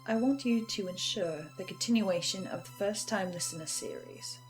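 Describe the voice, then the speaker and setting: A woman performing a formal, composed and menacing reader of a sinister letter in a horror audio drama, measured and deliberate. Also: medium pitch, soft background music, lightly acted, low-quality recording. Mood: quietly menacing. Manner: measured, deliberate, ominously polite.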